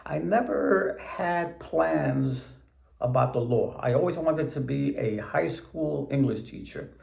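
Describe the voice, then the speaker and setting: A man in his seventies, born in Puerto Rico, recalling his path to the bench in a slow, deliberate, plain-spoken way. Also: medium pitch, creaky, a little mellow, roomy sound. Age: 70s. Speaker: interviewee judge. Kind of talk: measured recollection in an interview.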